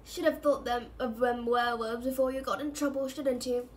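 A woman's voice: on the high side, fairly even intonation, normal speed, darkish tone, distant mic, a little hiss or hum, lightly acted. Woman reading as a spiteful, leering male caretaker. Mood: cackling glee. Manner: cackling, coldly menacing, nasty. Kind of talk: storybook character reading.

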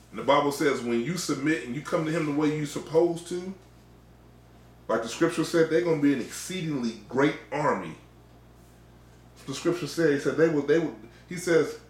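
American male preacher, middle-aged, informal exhorting sermon delivery, mid-range voice, recorded in an echoey small room. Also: medium pitch. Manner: exhorting, emphatic repetition. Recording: echoey, roomy sound.

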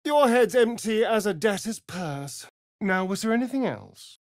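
A man's voice: high voice, lively intonation, measured pace, no echo, studio quality. A male actor with an English accent voicing a sardonic, seductive, vain vampire rogue in a video game, with an arch, flamboyant drawl. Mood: mocking. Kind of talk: theatrical video game dialogue.

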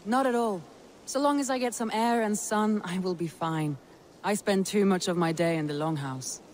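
A woman's voice: medium pitch, normal speed, a little mellow, a little hiss or hum, close to an everyday voice. An actress playing a composed Viking longhouse woman in a video game. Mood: agreeable. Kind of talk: video game cutscene acting.

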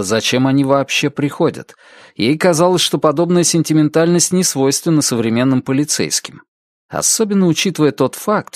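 A man reading a detective novel as a scripted audiobook, in a measured, expressive storytelling manner. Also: mid-range voice, dry recording, clean studio sound. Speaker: audiobook narrator.

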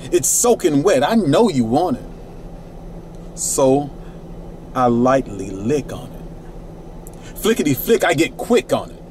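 Middle-aged guy doing spoken word in a parked van at night, laid-back rhymes, mid pitch, faint hum behind. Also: darkish tone. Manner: rhythmic rhyming delivery, laid-back. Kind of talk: reciting spoken word poetry. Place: parked minivan at night, red lighting.

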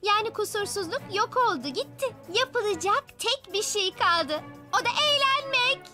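A woman voicing a spirited young girl in a children's cartoon dub, speaking in an expressive, earnest way. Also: very high voice, moderate pace, full character voice. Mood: animated. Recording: music behind the voice.

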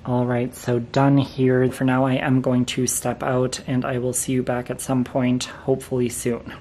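Flat, mellow-voiced man vlogging. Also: mid-range voice, moderate pace, slight background noise. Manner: even, matter-of-fact updates. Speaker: vlogger showing a fan collection. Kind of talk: casual vlog narration. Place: basement display room with exposed joists.

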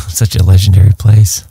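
A middle-aged man co-hosting a podcast in an easygoing, casual chat, often laughing softly. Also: deep voice, flat delivery, a little slow, slightly rough, no echo. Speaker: podcast co-host.

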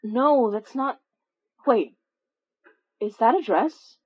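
An actress playing an anxious, earnest woman investigator, speaking haltingly in an audio drama. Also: on the high side, animated, breathy edge, dry recording, heavily acted. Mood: hesitant. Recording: dry, slightly dull close recording.

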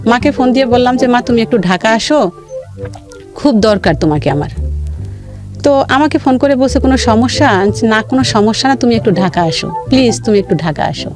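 A woman with a Bangladeshi accent telling her own life story in an interview, in a halting, detailed way with repeated phrases. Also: on the high side, animated. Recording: music playing behind the voice. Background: background music.

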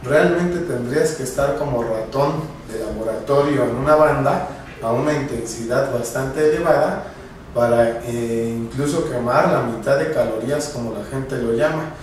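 A man's voice: mid-range voice, normal speed, distant mic, a little hiss or hum. A man, a clinic director seated at his desk earnestly giving viewers nutrition advice straight to camera. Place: bright office, seated at a desk. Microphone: camera at a distance. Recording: very echoey room, mic from afar.

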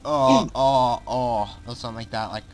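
A young man with a cold and a croaky voice, chatting hesitantly while playing a game with a friend. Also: medium pitch, fairly even intonation, moderate pace, a loud crowd. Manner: rambling, hesitant with many fillers. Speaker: co-player. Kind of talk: casual gameplay chat. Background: game music.

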